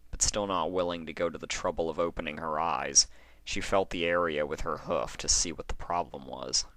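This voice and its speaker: A man reading a horror story as narrator, in a measured and dramatic storytelling manner. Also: extremely low pitch, sharp tone, dry recording. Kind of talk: scripted narration of horror fan fiction.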